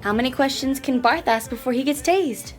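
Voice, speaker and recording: A woman's voice: high voice, a little fast, faint music behind, lightly acted. A woman playing an impatient, feisty young female alien, blunt and defensive, in a comedic audio drama. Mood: puzzled, wry.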